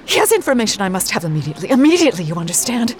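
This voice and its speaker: A woman's voice: medium pitch, sing-song, racing, natural voice. An actress playing an imperious, melodramatic and desperate female executive in a campy audio drama. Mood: desperate, demanding. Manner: commanding, melodramatic. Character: imperious, desperate woman cosmetics executive obsessed with youth. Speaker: voice actor.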